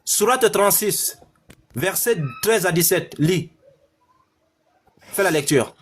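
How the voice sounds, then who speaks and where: A man's voice: sits high, lively intonation, slow, slight background noise. Emphatic Cameroonian man lecturing. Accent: Cameroonian French. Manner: emphatic, lecturing, repeats phrases. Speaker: debater. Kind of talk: heated online debate.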